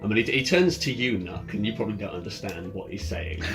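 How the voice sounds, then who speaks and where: A man with a low voice runs a tabletop game, improvising as he narrates. He speaks in a halting, amused way, with ambient music playing loudly behind him. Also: animated. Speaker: game master. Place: in-person tabletop game session. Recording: loud ambient music under the voice.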